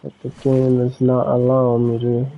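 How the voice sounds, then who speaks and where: A man's voice: mid-range voice, moderate pace, dull audio. An American man casually talking through his game build as a streamer, muttering to himself and chatting with viewers. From United States. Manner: relaxed, talks to himself while building, chats with viewers. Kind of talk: casual gameplay commentary.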